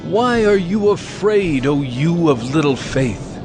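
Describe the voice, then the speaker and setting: A man's voice: a little high, expressive, takes it slowly, over music. A man playing an authoritative, compassionate holy teacher in a dramatized audio Bible, solemn and emphatic. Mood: commanding.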